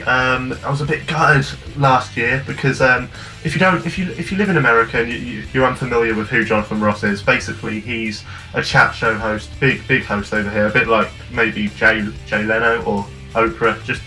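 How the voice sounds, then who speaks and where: A man's voice: medium pitch, pushed and bright, low-quality recording. A young British man co-hosting a casual comedy review, rambling and self-mocking with frequent restarts. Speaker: co-host of a review show.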